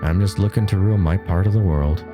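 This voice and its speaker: An actor playing an older brother eager to leave home for college, talking loud and brash. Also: male voice, low-pitched, fast, over music, lightly acted.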